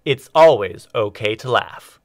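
A man's voice: on the low side, expressive, measured pace, noisy background. A man reading a scripted show introduction as an announcer.

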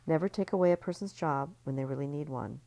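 A woman's voice: deep voice, quick pace, warm tone. A woman reading an audiobook, voicing a firm female kitchen worker who scolds plainly.

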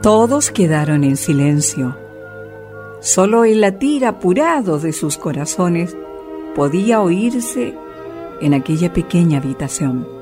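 A woman narrating a radio drama in a measured, expressive storytelling manner. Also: lowish voice.

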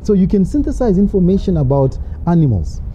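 A woman's voice: on the low side, sing-song, fairly quick, warm tone. A woman guest in an interview, explaining her views at length and posing rhetorical questions. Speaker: literacy advocate guest. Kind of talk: interview answers. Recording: dull lo-fi with faint noise.

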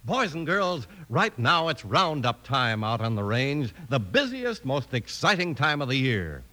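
A man's voice: mid-range voice, very animated, unhurried, bright, forceful tone, faint music behind. A male announcer reading an upbeat vintage radio advertisement aimed at children.

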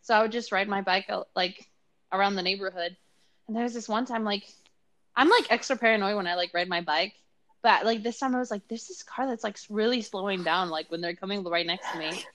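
A woman's voice: mid-range voice, brisk. A woman in her twenties from Mexico, co-hosting a casual podcast and telling a story with laughs and acted-out voices. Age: 20s. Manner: animated, giggly, acts out voices in stories. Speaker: podcast co-host.

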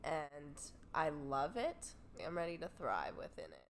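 Woman, very low pitch, interviewee, earnest, reflective, faint background noise. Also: wildly swooping pitch, unhurried.

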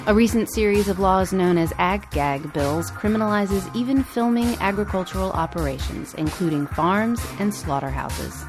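A woman podcast host reading a scripted narration. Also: fairly low pitch.

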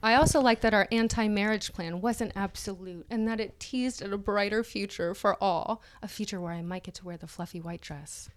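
A woman with a mid-pitched voice does a dramatic reading on a podcast. She keeps interrupting herself with mocking, laughing asides. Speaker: podcast co-host.

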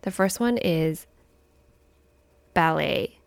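A young woman co-hosting a casual chat podcast, whose first language is Chinese, telling stories in a chatty, animated way. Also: sits low, very slow, vocal fry, dry recording. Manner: chatty, animated storytelling with laughs and restarts. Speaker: podcast co-host.

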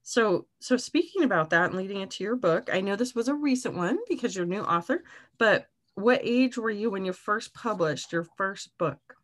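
A woman's voice: medium pitch, lively intonation, moderate pace, fairly bright tone. A woman in her thirties hosting an interview, upbeat and laughing often in casual chat. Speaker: podcast host.

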